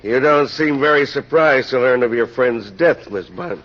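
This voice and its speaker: An actor playing a skeptical, gruff police inspector in a 1940s radio mystery, questioning in a terse, pointed way. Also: male voice, medium pitch, normal speed, a bit bright, lightly acted. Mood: suspicious. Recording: dull old radio recording.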